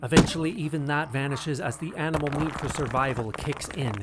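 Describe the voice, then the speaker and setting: A man delivering scripted dramatic narration for an audio drama in a grave, deliberate way with suspenseful phrasing. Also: a little high, heavy background noise. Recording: narration over prominent music.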